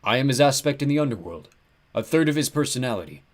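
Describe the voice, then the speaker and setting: A man acts out a lonely, ancient ram-headed giant guarding a gate in a storybook tale. The voice booms with a bleating edge, mildly courteous in its threats and heavy with melancholy. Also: expressive, fairly quick, close to an everyday voice. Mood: forlorn. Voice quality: booming, bleating.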